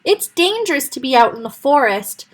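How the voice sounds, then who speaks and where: A woman voicing a big, bossy male wolf who booms and speaks firmly in a children's story. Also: very animated, normal speed. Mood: blustering. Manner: booming and firm. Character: big bossy male wolf, blustering but good-hearted.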